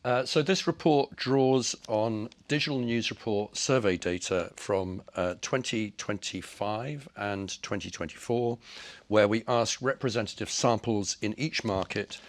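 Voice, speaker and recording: Croaky-voiced man presenting research. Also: fairly low pitch, a little slow. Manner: talks with frequent "uh" hesitations. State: croaky from a cold. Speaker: report author presenting research.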